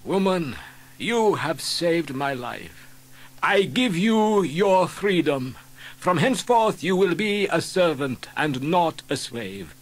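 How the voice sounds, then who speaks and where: An actor playing a hospitable, insistent master of a wealthy house, declaiming in old-fashioned formal style in a children's audio story. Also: male voice, on the high side, lively intonation, slow, full character voice. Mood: solemn gratitude. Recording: vintage cassette tape.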